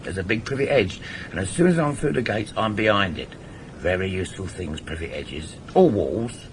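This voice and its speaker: A man's voice: sits low, lively intonation, moderate pace. An actor playing a controlling middle-aged English burglar who instructs his gang insistently, in a naturalistic radio drama. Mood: instructive, firm. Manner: instructive, insistent, repeats himself for emphasis. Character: wily, controlling veteran burglar, father running a small gang. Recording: noisy 1980s radio drama broadcast recording.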